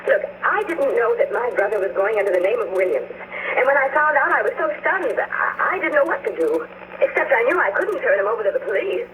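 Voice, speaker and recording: An actress playing a distraught young ranch woman in a 1940s radio drama, pleading and halting, over a noisy radio broadcast. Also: on the high side, big pitch swings. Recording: noisy old radio broadcast, tinny phone-like band.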